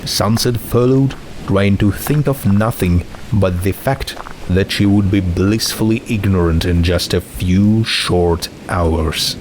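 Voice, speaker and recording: A man narrating a scripted story in a measured, dramatic manner. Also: low voice, a little mellow, slight background noise, rough recording. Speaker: narrator, fan fiction reader.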